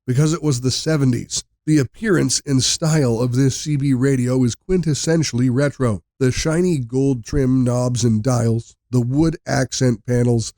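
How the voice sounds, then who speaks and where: Man narrating with a gravelly, creaky voice. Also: medium pitch, steady tone, normal speed, hardly any pauses, dark tone, dry recording, clean studio sound. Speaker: narrator of product countdown video. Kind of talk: scripted narration.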